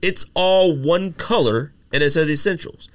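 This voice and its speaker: Man, podcast co-host, mid-pitched, relaxed slangy delivery. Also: a little slow. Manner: casual, uses slang, speaks in loose run-on thoughts. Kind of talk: casual podcast chat.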